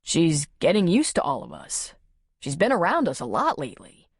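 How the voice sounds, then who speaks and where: A woman voicing a gentle, concerned man in an audiobook story, speaking softly and coaxingly. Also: huge pitch swings, normal speed, husky, no echo, studio quality, heavily acted. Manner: soft, low-key, coaxing.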